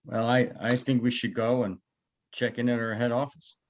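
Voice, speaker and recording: A man's voice: mid-range voice, flat delivery, moderate pace, close to an everyday voice. A man playing a conscientious, puzzled security guard in a screenplay table read, plain and hesitant. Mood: suspicious.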